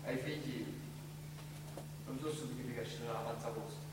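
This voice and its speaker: A man's voice: mid-range voice, fairly even intonation, fast talker, lightly acted. An actor playing a blunt, insistent man in a stage drama, cajoling and wry, emphatic. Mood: grave urging. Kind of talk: stage acting in dialect. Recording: echoey hall, far mic, very loud noise.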